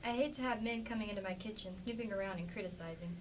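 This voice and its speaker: A woman's voice: medium pitch, quick pace, close to an everyday voice. A woman playing a plainspoken, defensive farmer's wife in an amateur staged play reading. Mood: guarded, defensive. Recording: distant mic with faint background noise.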